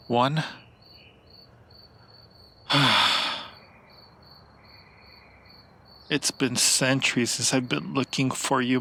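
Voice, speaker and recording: A man playing a centuries-old, yearning and possessive vampire in an improvised ASMR roleplay, speaking to the listener in an intimate, theatrical way with lingering dramatic pauses. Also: medium pitch, pushed and bright, slightly rough, full character voice. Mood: hushed, urgent, then yearning, earnest.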